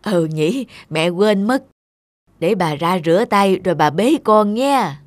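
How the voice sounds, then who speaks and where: A woman's voice: medium pitch, expressive, slow pace, no room sound. A woman playing a doting, frugal elderly village grandmother in a cartoon, homely and apologetic. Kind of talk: cartoon dialogue.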